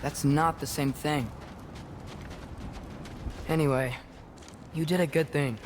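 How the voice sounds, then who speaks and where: Earnest teen boy, highish voice, restless, game dialogue with noisy background. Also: steady tone, takes it slowly. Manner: earnest, youthful. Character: earnest, restless teenage boy, half-giant son. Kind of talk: naturalistic video game acting.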